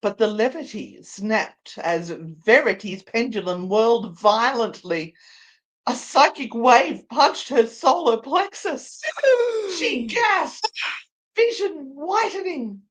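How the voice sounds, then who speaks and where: A woman narrating a story as a dramatic live read, deliberate and theatrical. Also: medium pitch, poor audio. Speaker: narrator and co-host.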